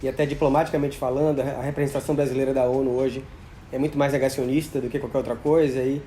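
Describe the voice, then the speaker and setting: Mellow fast-talking man. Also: mid-range voice, little pitch movement, a little hiss or hum. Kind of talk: casual chat.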